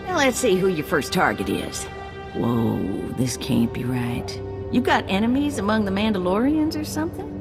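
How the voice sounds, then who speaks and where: A woman's voice: mid-range voice, sing-song, moderate pace. A woman voicing a shrewd, folksy bounty handler who briefs a hunter in plain slangy speech. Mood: briefing. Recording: game audio with music.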